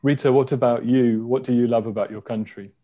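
A man's voice: medium pitch, fairly even intonation, a little fast, warm tone, muffled recording. A man hosting an interview over a video call from home, friendly and a little hesitant, with frequent fillers. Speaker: host.

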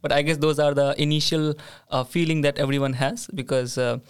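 A man's voice: on the high side, little pitch movement, brisk, smooth. A man hosting a casual group chat about living abroad, talking with many fillers and restarts. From not from Sweden. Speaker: host.

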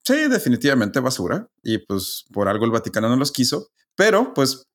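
A man's voice: mid-range voice, wildly swooping pitch, a little fast, fairly bright tone. Mexican man telling a story casually. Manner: conversational, explains with asides and tag questions. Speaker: podcast host.

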